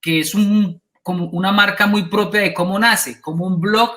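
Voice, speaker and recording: Male host, higher pitch, crisp and bright, admiring and literary. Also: steady tone, normal speed. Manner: appreciative, reads passages aloud. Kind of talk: hosted conversation.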